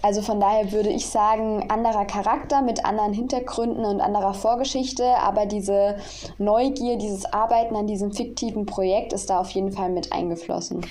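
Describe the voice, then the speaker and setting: A woman's voice: mid-range voice, steady tone, fairly quick, dark tone, slight background noise. A woman speaking reflectively in conversation as a guest author about her own creative work. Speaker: guest, audio drama author.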